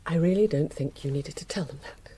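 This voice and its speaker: A woman's voice: deep voice, a little fast, dark tone, husky. An actress playing a suspicious, reproachful middle-aged English wife and mother in a naturalistic radio drama, terse, controlled and accusing.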